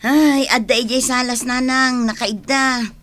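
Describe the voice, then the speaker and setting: Bright-voiced harried Filipino wife. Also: female voice, sits high, fairly even intonation, measured pace, a little hiss or hum. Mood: resigned. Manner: exasperated, scolding. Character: adult daughter, harried nagging wife and mother. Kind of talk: Ilocano radio drama acting.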